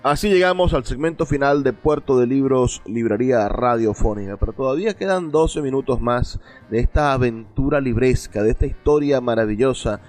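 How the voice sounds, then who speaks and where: A man's voice: medium pitch, normal speed, darkish tone, soft background music. A Venezuelan man presenting a radio program with eloquent, rhetorical phrasing built on repetition. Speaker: radio host. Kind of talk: radio presenting, flowery scripted-style narration.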